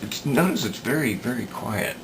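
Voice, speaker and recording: A man giving unscripted workbench commentary as a repair hobbyist, hesitant and halting as he thinks aloud. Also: mid-range voice, lively intonation. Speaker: radio repair hobbyist demonstrating work. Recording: clear background noise.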